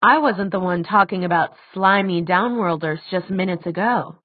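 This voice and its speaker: A woman narrator plays a gentle grown man in an audiobook, a weary guardian figure. He explains things quietly and with measured care. The sound is very dry and somewhat boxed-in. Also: on the low side, no room sound, phone-quality sound, close to an everyday voice. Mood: calm, apologetic.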